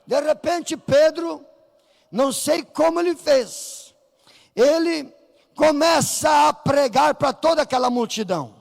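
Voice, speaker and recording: Fervent Brazilian pastor preaching, high gravelly voice. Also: male voice, measured pace. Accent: Brazilian Portuguese. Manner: fervent preaching, rising to shouts, acting out voices in the story. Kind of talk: Pentecostal sermon. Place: church sanctuary. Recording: reverberant church hall.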